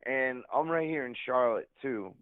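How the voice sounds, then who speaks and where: A man from Long Island with a Long Island accent, talking sports in a rambling, halting way, full of fillers. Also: medium pitch, smooth, no room sound. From Long Island, New York. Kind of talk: casual sports talk. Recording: tinny phone line.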